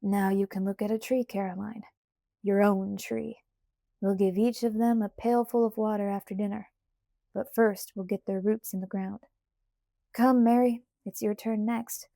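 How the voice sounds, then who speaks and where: A woman voicing a homesteading father in an audiobook, a steady, plain-spoken and insistent family man. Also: mid-range voice, measured pace, vocal fry, no echo, clean studio sound. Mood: calm, instructing. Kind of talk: audiobook character voice.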